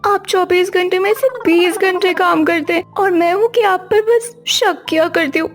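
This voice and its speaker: A chatty young wife in a cartoon story, who talks a lot and complains. Her voice is very high. Light music plays behind. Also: moderate pace. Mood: apologetic. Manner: talkative, complaining. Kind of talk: cartoon voice acting.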